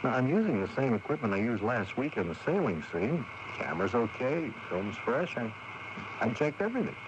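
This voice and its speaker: A man's voice: mid-range voice, big pitch swings, fairly quick, close to an everyday voice. An actor playing a diligent, hesitant and plain-spoken cameraman in a 1980s radio drama. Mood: baffled. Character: puzzled, diligent male film cameraman. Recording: dull, noisy 1980s radio taping.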